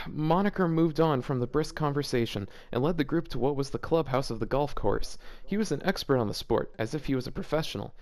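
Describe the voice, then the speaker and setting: A man's voice: medium pitch, lively intonation, fairly quick, few pauses, darkish tone. A man hosting a casual read-aloud of fan fiction, breaking it up with incredulous, joking commentary. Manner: incredulous asides breaking up the reading.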